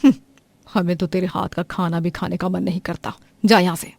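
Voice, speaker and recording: A woman's voice: on the low side, steady tone, moderate pace, creaky, a little mellow, dry recording. A woman voicing a harsh, scornful middle-aged mother-in-law who scolds with contempt.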